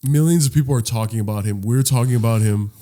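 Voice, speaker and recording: A man in his late twenties co-hosting a podcast, animated and slangy, laughing easily in casual chat. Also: mid-range voice, fast talker. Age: late 20s. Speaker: podcast co-host.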